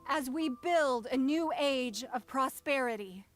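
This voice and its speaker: A stately female leader from a video game. She speaks in a fairly high, poised voice with formal, measured phrasing, over faint music. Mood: solemn.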